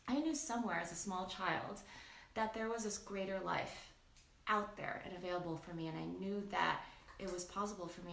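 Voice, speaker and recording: A woman's voice: medium pitch, recorded from a distance. A woman speaking as a life coach and guest, telling personal motivational stories in an earnest, emphatic, casual way. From born in Thailand to a Cambodian family, raised in the United States. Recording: echoing room, clear background noise.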